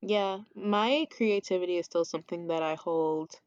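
A woman's voice: fairly low pitch, moderate pace, a little mellow, no room sound, highs cut off. A woman co-hosting a casual conversation, talking openly and reflectively with frequent restarts.

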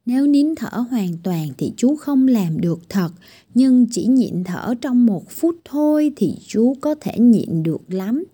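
A woman's voice: fairly high pitch, animated, unhurried, dark tone, slightly rough. A woman voicing a strict, bossy tiny girl doctor in a children's storybook reading, giving firm scolding commands. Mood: stern.

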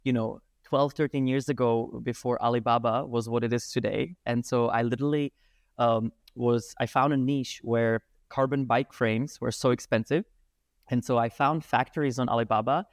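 A man, a guest telling his life story in animated talk full of hesitations. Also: medium pitch, moderate pace, dry recording, studio quality. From Czech Republic. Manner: animated, hesitant with many fillers. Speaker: guest, breathwork and movement teacher. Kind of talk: casual storytelling interview.

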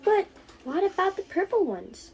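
A teenage young woman voicing a curious, excitable young schoolgirl in a storybook reading. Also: very high voice, moderate pace, lo-fi sound, faint music behind, voice pushed up, heavily acted. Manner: bright-eyed, inquisitive, excitable.

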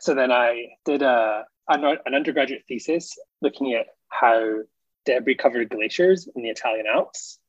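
Husky man with a mild Scottish accent. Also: mid-range voice, dry recording. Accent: mild, mixed Scottish. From Scotland. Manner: chatty, laughs often, quick restarts. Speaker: guest, ecologist. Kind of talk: casual interview chat. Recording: dull lo-fi dry audio.

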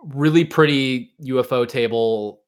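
A man's voice: medium pitch, unhurried. A man hosting a podcast, chatting casually and informally about his hobby, often hedging what he says. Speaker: podcast host. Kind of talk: casual chat about visual novels and anime.

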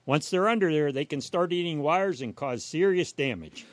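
A man with a moderately high-pitched voice co-hosts a home improvement radio show. He delivers scripted tips with a folksy, playful, joking touch. The recording is very dry. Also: expressive, normal speed, no room sound. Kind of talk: jokey scripted home-advice banter.

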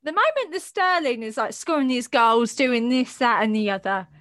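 A woman's voice: a little high, moderate pace, dry recording, studio quality. A woman co-hosting a casual podcast with friends, telling stories with emphatic repeats. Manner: animated storytelling, emphatic repeats. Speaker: podcast co-host. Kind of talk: casual chat among friends.

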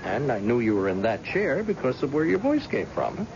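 Proud blind ex-cop, lively swooping delivery. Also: male voice, medium pitch, moderate pace. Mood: teasing. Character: blind ex-police husband, proud, jovial, self-deprecating tough guy. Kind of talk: old-time radio drama acting. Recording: dull, noisy old radio broadcast.

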